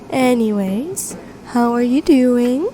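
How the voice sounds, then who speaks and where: Casting: woman to play young female best friend with a secret crush, high mellow voice, chatty and teasing, intimate ASMR roleplay. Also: a little hiss or hum. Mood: teasing, flustered. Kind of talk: intimate ASMR roleplay acting, one side of a conversation.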